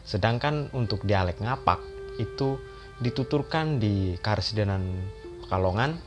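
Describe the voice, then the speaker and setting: A man narrating an educational history video as a voice-over, in a calm and steady explaining manner. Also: on the low side, unhurried. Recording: voice-over with loud background music.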